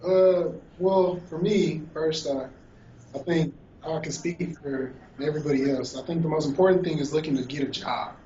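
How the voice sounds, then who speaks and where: A man in his twenties, a law graduate, speaking in a measured way in an interview. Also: fairly high pitch, mellow, reverberant, far from the mic, highs cut off, slight background noise. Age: 20s. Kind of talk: casual interview.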